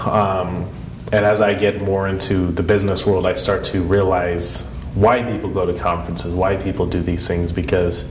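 Low-voiced congested man in echoey room. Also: flat delivery, normal speed. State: congested and sniffly from a head cold. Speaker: podcast co-host. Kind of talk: casual podcast conversation. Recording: echoey room with clear background noise.

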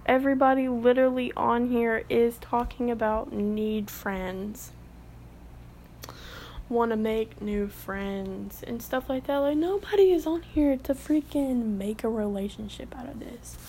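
Teen girl vlogger, slow and chatty, kinda high voice with lots of fry, drops to whispers sometimes. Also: warm tone, slight background noise. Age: late teens. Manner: rambling, chatty, with whispered asides. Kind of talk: casual commentary.

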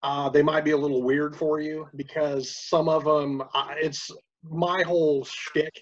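Male podcast interviewer, hesitant and filler-heavy, husky voice on a dull lo-fi recording. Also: fairly high pitch, little pitch movement, measured pace. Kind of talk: casual interview.

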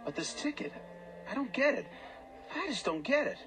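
A man's voice: very high voice, sing-song, normal speed, heavily acted. An actor in a radio drama playing a down-on-his-luck former ballplayer, humble, hesitant and self-deprecating. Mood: bewildered.

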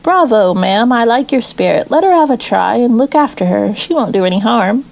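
A woman voicing a hearty, well-mannered gentleman in a storybook, courteous and encouraging. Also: fairly high pitch, expressive, moderate pace, creaky. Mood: hearty approval. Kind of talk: children's storybook character voice.

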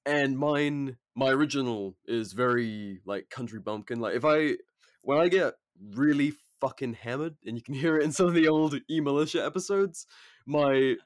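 A young Englishman from the countryside chats on a podcast, his British accent blurred by American vowels. He talks in a loose, sweary way and laughs often, at a middling pitch. The sound is close and dry, with no room around him. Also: normal speed, no room sound. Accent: British English blended with American. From rural England. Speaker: podcast host. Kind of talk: casual podcast chat.

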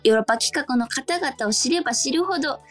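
A woman's voice: high voice, animated, fast talker. A woman co-hosting a radio show, chatting casually and reading out listener mail in a polite, agreeable way, with music behind her. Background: background music.

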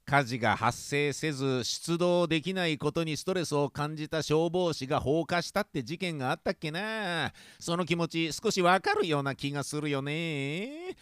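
Bright-voiced, lecherous, clownish police detective played by a man. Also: on the high side, animated, normal speed, hardly any pauses, clean tone, dry recording, clean studio sound. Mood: bored. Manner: whiny, clowning, theatrical outbursts. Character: lecherous, childish middle-aged male police detective. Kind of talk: comic radio drama acting.

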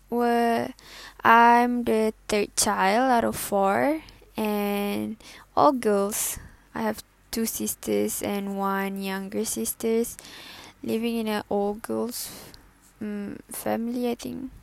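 A twenty-year-old woman, introducing her first podcast in a halting, self-conscious way, full of fillers and giggles. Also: mid-range voice, gravelly, no echo. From Malaysia. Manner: hesitant, halting, with many fillers and self-corrections. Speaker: student podcaster. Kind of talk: casual solo podcast intro.

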